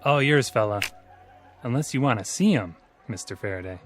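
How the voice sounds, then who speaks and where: A man as a hard-nosed card dealer in an old TV drama. He is curt and slangy, his pitch jumping around, with faint music underneath. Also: expressive, moderate pace, natural voice. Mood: brisk. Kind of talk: vintage television drama acting.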